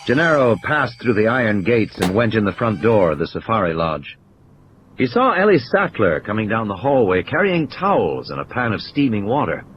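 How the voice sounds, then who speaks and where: A man's voice: lowish voice, sing-song, normal speed, fairly bright tone, a little hiss or hum, poor audio. A man reading scripted dramatic story narration as an audiobook narrator. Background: background ambience and sound effects.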